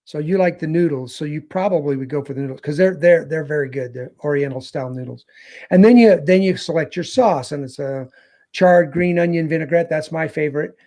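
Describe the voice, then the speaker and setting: A man's voice: on the high side, fairly quick, dark tone. A man in a casual panel chat, eagerly explaining step by step how to order, with frequent restarts. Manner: enthusiastic, explanatory, restarts often. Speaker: travel advisor.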